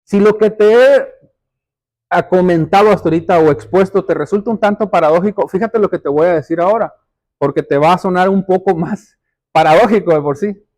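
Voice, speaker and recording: A man's voice: sits high, a little fast, darkish tone. A man preaching a sermon, explaining step by step and addressing the congregation directly, with emphatic repetition and rhetorical questions. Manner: emphatic, repeats phrases for stress.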